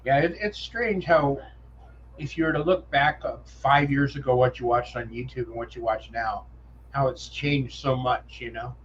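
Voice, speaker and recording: A man's voice: medium pitch, moderate pace, highs cut off, slight background noise. A man with a nasal voice, co-hosting a livestream in casual, easygoing chat. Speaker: co-host.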